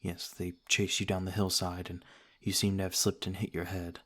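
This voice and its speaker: A man playing a courteous, cautious noble vampire lord in an ASMR roleplay, speaking in a formal, gentle, measured way. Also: sits low, little pitch movement. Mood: calm, guarded courtesy.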